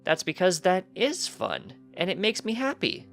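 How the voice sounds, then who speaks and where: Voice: mid-range voice, huge pitch swings, moderate pace, gravelly, fairly bright tone, faint music behind, pitched above the performer's own voice, exaggerated voice. A narrator voicing a precocious young pony colt, earnest and cheeky, chatting eagerly in a matter-of-fact way for a story reading. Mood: bright, chatty.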